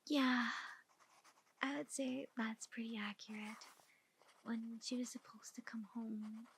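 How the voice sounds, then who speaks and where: A woman playing a flustered, defensive mother of a missing daughter, speaking softly and hesitantly in an ASMR roleplay. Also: on the high side, fairly even intonation, slow, vocal fry, breathy edge, heavily acted. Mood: hesitant, apologetic. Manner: hesitant, emotional, rambling excuses. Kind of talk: ASMR roleplay, soft-spoken.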